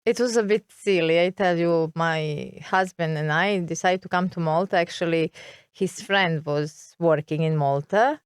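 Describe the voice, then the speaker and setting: A woman's voice: lowish voice, measured pace, clear, resonant tone, no room sound, clean studio sound. A middle-aged woman from Serbia with a Serbian accent, a guest in an interview, talking hesitantly and searching for words. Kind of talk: interview conversation.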